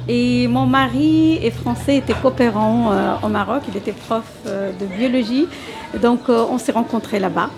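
A middle-aged woman, an Arabic speaker, talks in a slightly high, gravelly voice. She hesitates often and searches for words. Music plays clearly in the background. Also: normal speed. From Morocco. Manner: hesitant, many fillers. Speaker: language teacher guest. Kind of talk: interview answers.

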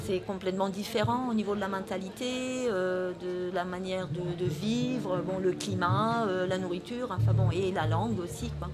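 Hesitant woman explaining over loud music. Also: medium pitch, moderate pace, few pauses. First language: Italian. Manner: explains patiently with many hesitations. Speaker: Italian teacher, guest. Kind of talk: radio interview answers.

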